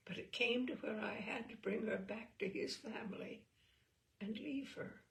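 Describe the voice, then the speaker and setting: A woman reading a literary short story in the first person, measured and expressive, dwelling on phrases. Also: medium pitch, gravelly.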